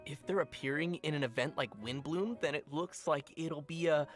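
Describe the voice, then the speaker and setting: A man's voice: a little high, normal speed, soft background music. A man co-hosting a game update program, explaining events in a friendly, chatty scripted way. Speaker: program co-host.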